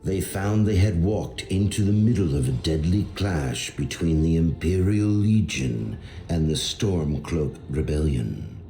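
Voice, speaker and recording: A solemn storyteller narrates a fantasy game. The voice is extremely low and unhurried, measured, with a roomy echo. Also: male voice, vocal fry, warm tone, soft background music. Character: solemn male storyteller of heroic fantasy tales. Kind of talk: scripted video game narration.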